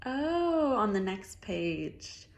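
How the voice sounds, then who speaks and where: A woman's voice: medium pitch, very animated, drawn-out words. A woman reading a picture book aloud to a child, encouraging and often echoing answers back as questions. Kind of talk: dialogic storybook reading with questions.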